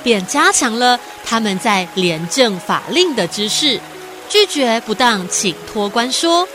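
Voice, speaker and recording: A woman's voice: on the high side, expressive, measured pace, music in the background. A woman narrating a scripted children's radio drama as a storyteller.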